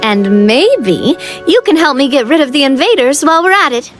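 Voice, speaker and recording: A woman's voice: high voice, sing-song, normal speed. A woman playing a confident, upbeat young female leader in a video game, breezy and self-assured in her delivery. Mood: matter-of-fact. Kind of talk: animated game dialogue. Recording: music playing behind the voice. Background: game music.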